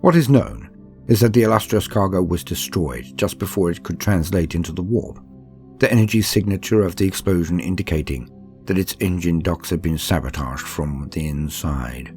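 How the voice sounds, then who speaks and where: A man reading a book aloud as a calm, steady narrator, in a soothing, measured way suited to falling asleep. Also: deep voice, faint music behind. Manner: measured, soothing, unhurried storytelling.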